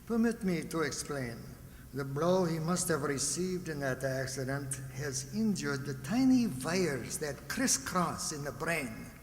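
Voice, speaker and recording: Man acting lecturing psychiatrist, measured, formal, highish pitch, old-time radio drama, very roomy sound. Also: animated. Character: smooth, formal, condescending male psychiatrist. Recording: echoey hall, background noise.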